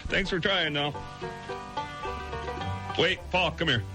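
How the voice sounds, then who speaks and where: A man's voice: fairly high pitch, slow pace. A man hosting a radio show, bantering casually with callers and co-host in a teasing, opinionated way. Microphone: radio studio microphone. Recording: music behind the voice. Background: music.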